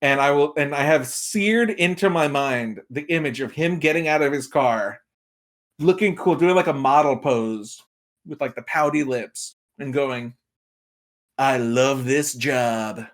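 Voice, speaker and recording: A man's voice: mid-range voice, a little slow. A man speaking as a podcast guest and film commentator in casual, humorous chat.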